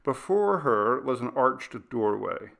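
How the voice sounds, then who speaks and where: A man reading a literary ghost story aloud as an audiobook narrator, in a measured, dramatic storytelling manner. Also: medium pitch, sing-song, gravelly, fairly bright tone.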